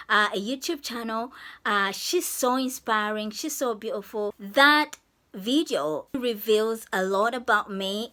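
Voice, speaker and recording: A woman's voice: a little high, pushed and bright. A woman from Zambia chatting effusively to camera in a vlog, laughing often. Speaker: vlogger. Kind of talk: casual vlog talk to camera.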